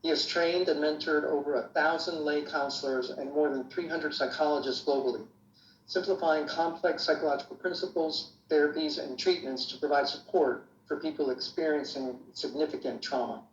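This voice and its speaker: A man's voice: fairly high pitch, fairly even intonation, normal speed. A man presenting an award, reading a formal scripted introduction. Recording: roomy, dull lo-fi with faint hiss.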